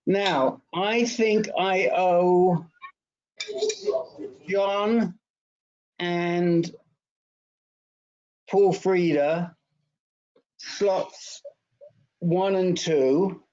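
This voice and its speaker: A man's voice: high-pitched, steady tone, very slow, pauses often, darkish tone, muffled recording. A man moderating a support group in a casual, chatty, joking way over an online call.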